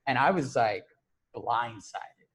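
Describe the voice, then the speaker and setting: A man's voice: mid-range voice, expressive, takes it slowly, fairly bright tone, slightly rough. A man in a casual podcast chat, telling a story in an easygoing way, often dropping "man" and "you know".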